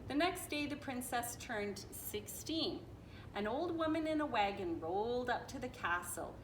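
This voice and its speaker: Expressive woman reading a storybook, unhurried. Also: a little high. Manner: expressive, playful. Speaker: storybook reader. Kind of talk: animated children's story read-aloud with casual asides. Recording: echoey room with faint noise.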